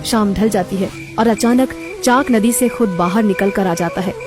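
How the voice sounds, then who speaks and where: A woman's voice: mid-range voice, normal speed. Woman narrating a cartoon story over music. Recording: background music throughout.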